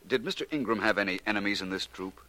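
Bright-voiced, urbane radio detective. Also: male voice, lowish voice, fast, no room sound. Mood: confident, probing. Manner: urbane, precise, pedantic wording. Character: suave, self-assured gentleman private detective. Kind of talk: 1940s radio drama acting. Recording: noisy vintage 1940s radio recording.

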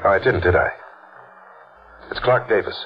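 An actor playing a cold-blooded drifter in a 1940s radio mystery, terse, deadpan and hard-boiled. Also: male voice, on the low side, normal speed, slight background noise, natural voice. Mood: terse, casual. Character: cold-blooded male drifter, a calculating killer narrating his crime. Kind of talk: 1940s radio mystery acting with first-person narration. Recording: dull old radio recording with loud music.

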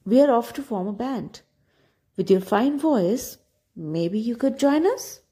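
A woman playing a gentle old storybook donkey, sympathetic and encouraging to his friends. The voice is warm and unhurried. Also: fairly high pitch, animated, pitched above the performer's own voice. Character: old, tired but kindly male donkey.

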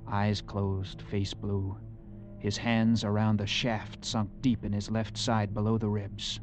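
An actor playing an earnest young cavalry lieutenant in a 1940s radio drama, measured, solemn and dramatic. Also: male voice, on the low side, natural voice. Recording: vintage radio broadcast with music.

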